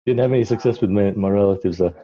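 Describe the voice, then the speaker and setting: A man's voice: on the low side, brisk, lo-fi sound. A middle-aged man from Malaysia, a guest in a casual interview, telling his story in a rambling way with lots of fillers and restarts.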